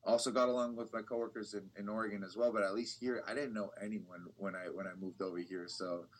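A young man who works as a bilingual TV reporter, answering interview questions in a relaxed, rambling way with frequent restarts. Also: on the low side, fast, poor audio. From United States. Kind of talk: casual interview talk.

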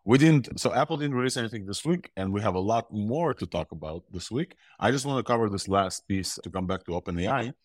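Deep-voiced host with a mixed accent. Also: male voice, expressive, a little fast, hardly any pauses, dry recording, studio quality. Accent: mixed Russian and Israeli. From Ukraine. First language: Russian. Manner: enthusiastic, rambling with restarts. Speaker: podcast host. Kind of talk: casual tech news talk.

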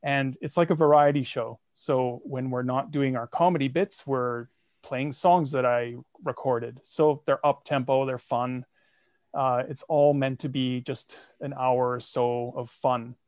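A man guesting on a podcast, telling the story of his projects in a lively, self-mocking way. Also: medium pitch, unhurried, no echo, studio quality. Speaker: guest, comedy podcaster and songwriter. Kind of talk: casual interview.

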